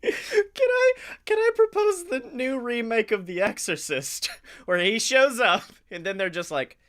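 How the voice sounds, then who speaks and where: A boisterous man with a high-pitched, forceful voice. He banters like a comedy podcast host, swearing freely and playing up the showman hype. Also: very animated, measured pace. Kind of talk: loud comedic banter with mock announcer hype.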